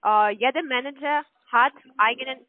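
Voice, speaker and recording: Female call-centre manager, foreign accent, slightly high voice, hesitant non-native phrasing, narrow phone-line sound. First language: not German. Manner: halting, searching for words. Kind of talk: phone conversation. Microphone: telephone line. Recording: tinny, narrow-band phone call recording.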